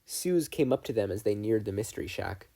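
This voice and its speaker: A man's voice: on the low side, expressive, fairly quick, gravelly, mellow. A man reading fan fiction aloud as scripted story narration. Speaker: fan fiction audiobook reader.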